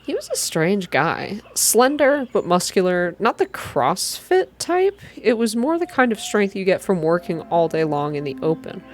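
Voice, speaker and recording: A woman narrating first-person fiction aloud in a soft ASMR reading style, with deliberate, expressive storytelling. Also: medium pitch, slight background noise.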